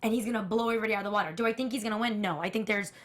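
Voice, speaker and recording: A woman with a naturally raspy voice chats on a TV review panel. She speaks candidly and at length about her opinions. Her pitch sits in a middle range for a woman. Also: very fast. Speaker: co-host of a TV after-show. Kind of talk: casual panel chat.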